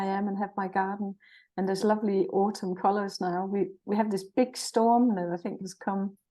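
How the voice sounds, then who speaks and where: A woman in her sixties with a Danish accent, speaking as an interview guest. Her voice is mellow and soft-edged. She talks in a friendly, cheerful way, thinking aloud as she goes. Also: medium pitch, low-quality recording. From Jutland, Denmark. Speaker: guest, naturopath and herbalist. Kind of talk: conversational interview.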